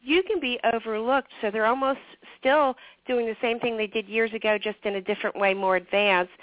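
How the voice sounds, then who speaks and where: A woman's voice: mid-range voice, dry recording, phone-quality sound. A woman recruiter, a guest on a radio show in a studio, explaining things cheerfully. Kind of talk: radio interview answers.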